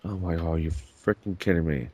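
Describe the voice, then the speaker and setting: A man with a very deep voice co-hosts a gaming podcast. He is sick with chest congestion and rambles in an easygoing way. The sound is dry and close. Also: moderate pace, a little mellow, no echo. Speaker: podcast co-host. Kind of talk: casual gaming podcast chat.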